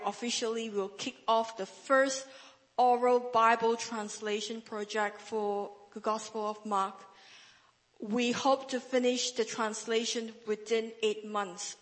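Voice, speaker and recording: A woman's voice: fairly high pitch, a bit bright. Earnest woman in a reverberant hall. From northern Malaysia. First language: a Chinese dialect. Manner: earnest, frequent restarts and repeated words. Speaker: guest speaker, Bible translator. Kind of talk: testimony speech in church.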